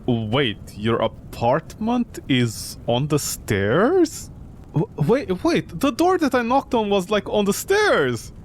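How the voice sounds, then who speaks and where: A man with a fairly high voice streams a game and comments as he goes. He is sarcastic and quick to nitpick. A low rumbling noise sits behind him. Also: very animated. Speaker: streamer playing and commenting on a horror visual novel. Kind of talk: gameplay commentary mixed with reading dialogue aloud.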